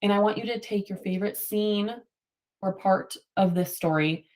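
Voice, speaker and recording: A woman's voice: mid-range voice, normal speed, darkish tone. A woman teacher reading a picture book aloud to children and prompting them with questions, affirming their answers.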